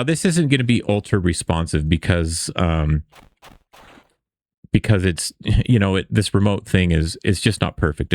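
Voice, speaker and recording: A man's voice: deep voice, dry recording. A man hosting a casual screen-share coding tutorial, explaining step by step in an informal, conversational way. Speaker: tutorial host.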